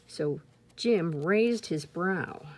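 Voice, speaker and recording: A woman reads a picture book aloud to children. Her delivery is slow and very expressive, with lots of melodic movement and casual asides to the listeners. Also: fairly low pitch, slight background noise. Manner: expressive, talks directly to young listeners. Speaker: storybook reader.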